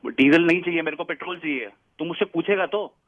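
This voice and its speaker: A man's voice: a little high, fast talker, no echo, phone-quality sound. A man speaking bluntly and informally in a phone conversation. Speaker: prank call victim.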